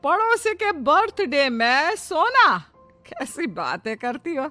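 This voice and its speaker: A woman voicing an older mother-in-law, practical and kindly with a gently scolding manner, in a cartoon story. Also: sits high, sing-song, slow, voice pushed up, heavily acted. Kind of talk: Hindi cartoon moral story acting. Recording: music behind the voice.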